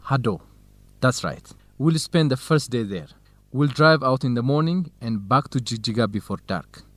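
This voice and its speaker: An actor playing a helpful, knowledgeable young researcher, explaining things in simple sentences in an educational radio drama. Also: male voice, medium pitch, lively intonation, normal speed, no echo, natural voice.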